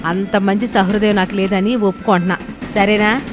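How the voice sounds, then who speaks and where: A woman's voice: medium pitch, steady tone, moderate pace, close to an everyday voice. Lady doing a grumbly sarcastic middle-aged mother in law, cartoon family story, bitter and stubborn, music under it. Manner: complaining, sarcastic. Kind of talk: animated moral story voice acting.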